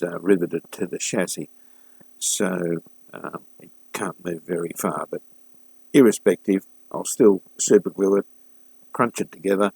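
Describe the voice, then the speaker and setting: A man giving a casual, unscripted hands-on repair walkthrough, thinking aloud haltingly and addressing viewers informally as a hobbyist host. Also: low voice, vocal fry, darkish tone, slightly rough, no echo, low-quality recording. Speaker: hobbyist host of a vintage radio restoration series.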